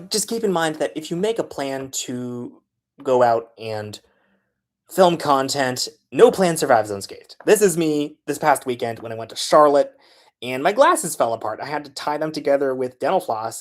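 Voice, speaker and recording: A man talks through his filmmaking process in a relaxed, conversational way. He often pauses on filler sounds while he works out his next point. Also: medium pitch. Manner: loose and chatty, frequent filler sounds. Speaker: transit video creator. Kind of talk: casual how-to talk.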